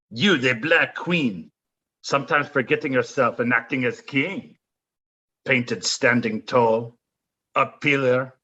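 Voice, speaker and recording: An actor playing a scheming, zealous French duke in an audio play, speaking in a grandiose, mocking, theatrical way. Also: male voice, mid-range voice, sharp tone, slightly rough, lo-fi sound. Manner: grandiose, mocking, florid delivery with dramatic drawn-out phrases.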